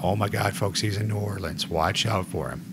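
A man in his forties with a gravelly voice, hosting a joking podcast chat. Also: on the low side, normal speed, very noisy. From United States. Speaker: podcast host.

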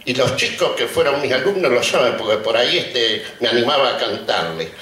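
A man's voice: medium pitch, expressive, pushed and bright. Older argentine guy giving an emotional thank you speech outside, takes his time, echoey and far from the mic. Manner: halting, reminiscing, with deliberate pauses. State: emotional, trembling. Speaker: retired teacher and honoree giving a speech. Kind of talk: ceremonial acceptance speech. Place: outdoor colonial courtyard before a seated audience. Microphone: podium microphones on stands. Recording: roomy, distant public-address sound.

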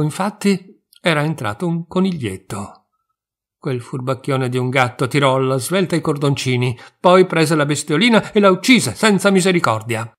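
A man in his sixties from Italy, reading a fairy tale aloud slowly and expressively. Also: mid-range voice. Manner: slow, measured, expressive. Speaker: storyteller.

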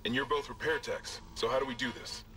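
An actor playing a commanding, pragmatic security officer on a video call in a sci-fi game. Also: male voice, medium pitch, steady tone, fairly quick, bright, forceful tone, close to an everyday voice. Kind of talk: naturalistic video game acting. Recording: slightly distant video call.

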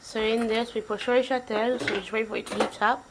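A woman's voice: a little high, steady tone, brisk. A woman giving casual, hesitant, step-by-step cooking commentary in a home kitchen. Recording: noisy kitchen with sizzling and clattering. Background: frying, sizzling, stirring.

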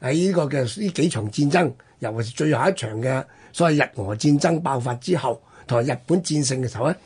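A man with a Hong Kong Cantonese accent hosting a history talk, telling it conversationally in halting, particle-filled phrases. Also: mid-range voice, animated, a little mellow, husky. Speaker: radio history programme host.

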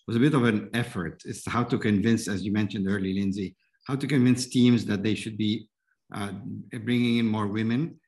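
A man in his fifties, raised in Egypt, speaks over a video call as a panel guest. His voice is lowish, and he talks haltingly, circling back and restarting as he works through his answers. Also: poor audio. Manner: halting, with many restarts and fillers. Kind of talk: answering questions in an online panel. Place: indoor room at home.

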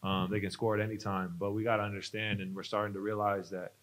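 A man's voice: lowish voice, flat delivery, fast, a bit bright. A young man, a college basketball player, earnestly explaining the game to reporters at a press conference, speaking into a desk mic. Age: young adult. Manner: earnest, explanatory, some fillers. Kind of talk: postgame press conference answers. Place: press conference room, seated at a table. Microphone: desk mic on a stand.

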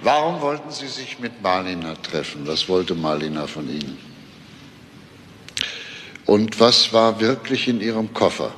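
An actor playing a calm, persistent police detective who questions pointedly in a German crime drama. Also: male voice, lowish voice, a little slow, pauses often. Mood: insistent. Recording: very reverberant hall, faint noise.

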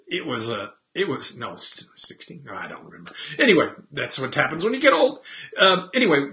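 A man in his fifties hosting a casual, rambling webcam chat from his home office, often clearing his throat. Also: a little high, pushed and bright. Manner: chatty, rambling, self-deprecating, frequent throat clearing. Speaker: host. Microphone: webcam at desk.

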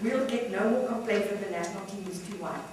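A woman's voice: mid-range voice, fast talker, crowd noise, close to an everyday voice. A woman voicing a mocking, emphatic South African caller ranting in colloquial talk, for a comic story reading. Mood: gleefully scornful. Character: scornful, ranting South African late-night radio caller. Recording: echoey room, distant mic, noisy.

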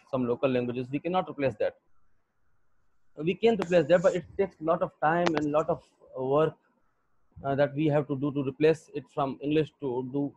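A young Pakistani man with a Pakistani accent, lecturing an online English class in a halting way with many fillers. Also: fairly high pitch, darkish tone, no room sound. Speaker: English teacher. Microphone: computer mic on a video call. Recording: dull lo-fi video call audio.

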